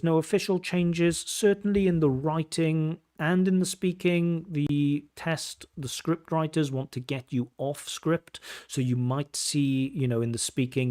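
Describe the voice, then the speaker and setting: A man's voice: fairly high pitch, a little mellow. A middle-aged man, an IELTS examiner, answering viewer questions on a livestream with direct, blunt advice and rhetorical questions. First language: English.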